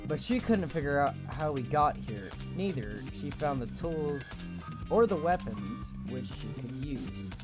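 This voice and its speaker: Man, slow read-aloud, trips over words, laughs at himself, music under. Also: on the high side, big pitch swings. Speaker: gamer reading a visual novel aloud. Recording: game music playing under voice.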